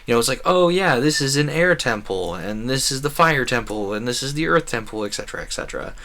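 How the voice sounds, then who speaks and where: A man's voice: mid-range voice, fairly quick. Casual man rambling while gaming. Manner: animated, acts out quoted conversations. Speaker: video game streamer. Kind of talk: casual rambling gameplay commentary.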